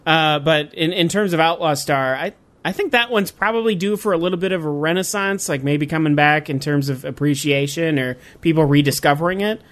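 A man's voice: a little high, a little fast, a bit bright. A man in his thirties co-hosting a casual podcast, rambling with restarts and fillers and swearing now and then. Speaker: podcast co-host. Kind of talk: casual chat.

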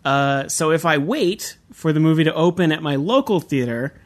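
A man's voice: fairly high pitch, lively intonation, normal speed. A man hosting a podcast in casual, enthusiastic chat, laughing often. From Southern California. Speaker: podcast host.

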